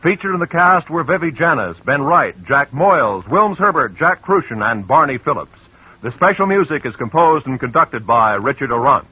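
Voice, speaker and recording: A male radio announcer reading credits and promos in a crisp, formal broadcast manner, on an old lo-fi broadcast. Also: mid-range voice, animated, moderate pace, a little hiss or hum.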